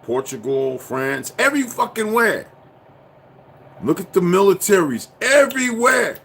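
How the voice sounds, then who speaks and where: A man rants straight to his viewers in a profane, preachy and insistent way. His pitch swings widely as he pushes each point, and a faint hiss sits under his voice. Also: very animated, a little slow, gravelly, fairly bright tone. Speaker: commentator. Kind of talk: ranting monologue to viewers.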